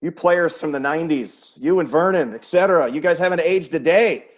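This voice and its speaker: A man's voice: a little high, a little fast. An American man hosting a sports interview series, putting questions in a conversational, announcer-like way. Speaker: web series host, sports play-by-play announcer.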